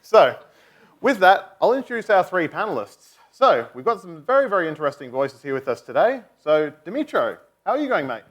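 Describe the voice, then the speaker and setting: A man's voice: sits high, very animated, a little fast, fairly bright tone. A man chairing a conference panel, chatty and joking as he introduces guests and asks questions. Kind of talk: live panel hosting. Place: conference stage before an audience.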